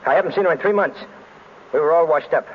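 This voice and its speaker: An actor playing a tough, jittery gangster with clipped, slangy hoodlum talk, in 1930s radio drama style. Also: male voice, fairly high pitch, quick pace, close to an everyday voice. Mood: menacing, urgent. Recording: noisy muffled vintage radio recording.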